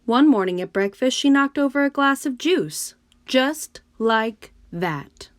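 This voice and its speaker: A woman narrates a children's read-aloud, addressing her young listeners directly. Her voice is on the high side and unhurried, recorded close and dry. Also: expressive, dry recording. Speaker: storybook reader.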